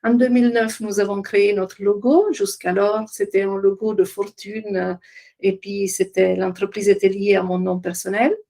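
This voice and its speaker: Middle-aged lady w/ presenting her work, medium pitch, kinda hesitant, hunting for words. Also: steady tone, moderate pace, creaky, warm tone. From Italian-speaking Switzerland. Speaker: occupational therapist, trainer and business founder presenting. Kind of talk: informal web conference presentation.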